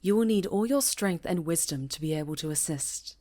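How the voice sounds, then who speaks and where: A woman voicing a stern, cautious high enchantress and mentor, speaking gravely and formally in a fantasy roleplay. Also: expressive, fairly quick, warm tone. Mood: stern, resigned. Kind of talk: fantasy ASMR roleplay acting.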